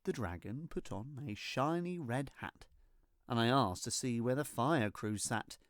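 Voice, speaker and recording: A storyteller reading a rhyming children's storybook in an expressive, theatrical and deliberate way. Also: medium pitch, no room sound, studio quality. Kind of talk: scripted storybook narration in rhyme.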